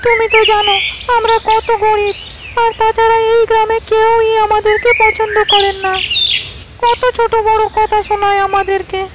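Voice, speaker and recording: A woman's voice: extremely high pitch, flat delivery, normal speed. A woman voicing a worried, complaining wife of a poor village farmer in a cartoon moral story. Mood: fretful complaint. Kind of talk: animated moral story dialogue.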